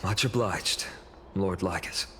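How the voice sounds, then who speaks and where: A man's voice: sits low, slow, a bit bright, slight background noise. A young man playing an earnest, humble village hero in a video game, speaking sincerely and politely. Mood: solemn, earnest. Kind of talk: scripted game voice acting. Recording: music behind the voice.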